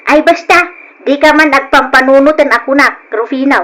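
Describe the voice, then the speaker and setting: A woman's voice: high voice, moderate pace, bright, forceful tone. An actress playing a stubborn, demanding older mother-in-law in a radio drama, brash and insistent, with a Filipino accent. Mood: stubborn, dismissive. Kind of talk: Ilocano radio drama acting.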